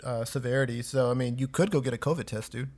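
A relaxed man chatting on a podcast, handing out easygoing advice. His talk is loose and friendly, full of filler and slang. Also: medium pitch, quick pace. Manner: laid-back, gives advice, says "man" and "dude" a lot. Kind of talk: casual podcast chat.

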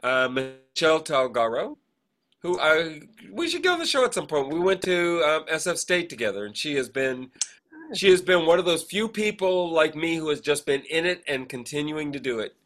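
A man co-hosting a podcast, chatting casually and rambling through shout-outs with hesitant, digressive asides. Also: on the high side, big pitch swings, normal speed. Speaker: podcast co-host.

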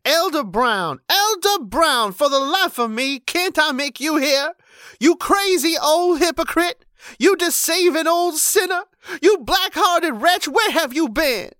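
Shrill old wife, scolding and shouting, voice leaping all over, dry sound. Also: male voice, expressive, measured pace, dry recording, full character voice. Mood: furious.

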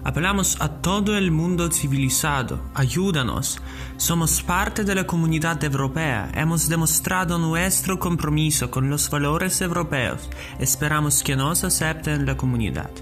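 A man's voice: a little high, animated, moderate pace, a bit bright. A young man from Ukraine, giving a prepared appeal. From Drohobych, Ukraine. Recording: faint music behind the voice.

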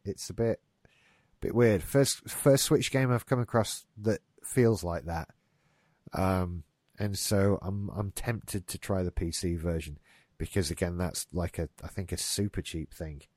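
A man's voice: sits low, a little slow, darkish tone, dry recording, clean studio sound. A man hosting a casual gaming news podcast, rambling and swearing lightly, a bit stuffed up. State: getting a stuffy nose. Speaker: podcast host. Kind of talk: casual chat.

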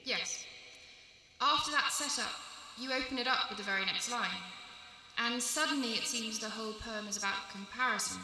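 A woman's voice: on the high side, moderate pace, pushed and bright. A woman translator in a thoughtful literary conversation, recorded in an echoey, reverberant hall.

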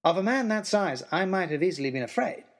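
A man narrating an old-fashioned first-person adventure story in a theatrical way, with deliberate dramatic pauses. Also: sits high, sing-song. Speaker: narrator of a serial audio story.

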